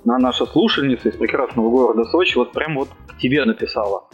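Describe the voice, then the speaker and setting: A male podcast host with a husky, rough-edged voice asks questions in a casual, chatty way. The recording is slightly dull and lo-fi, with faint music behind it. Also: mid-range voice, fast talker. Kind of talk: casual chat, interviewing.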